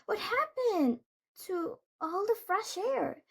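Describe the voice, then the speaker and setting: A dramatic little storybook princess, voiced by a woman. The voice leaps very high and exclaims everything excitably, stretching out the words. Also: takes it slowly, vocal fry, heavily acted. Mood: dismayed.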